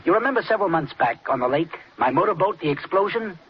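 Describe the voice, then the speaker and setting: A tense, guarded husband in a 1940s radio thriller, played by a male actor. He hides his scheming behind a casual air, with an animated rise and fall. The recording is muffled, with faint noise. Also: fairly high pitch, fast, close to an everyday voice. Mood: feigned worry. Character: tense, guarded husband secretly plotting against his wife.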